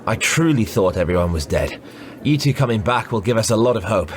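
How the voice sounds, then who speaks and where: A man's voice: lowish voice, a little fast, slight background noise, natural voice. A man playing a chatty, jovial, teasing soldier with a taste for betting in a fantasy audio drama. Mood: friendly. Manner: animated, teasing. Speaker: voice actor.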